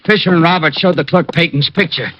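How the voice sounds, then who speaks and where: An actor playing a dutiful police driver in a 1950s radio drama, reporting in a matter-of-fact way. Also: male voice, on the high side, fast talker, natural voice. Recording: dull old radio recording, light hiss.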